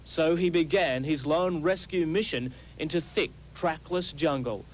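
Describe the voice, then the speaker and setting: A man's voice: a little high, measured pace, a little hiss or hum, rough recording. A man with an Australian accent narrating a scripted television news documentary as a reporter. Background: music.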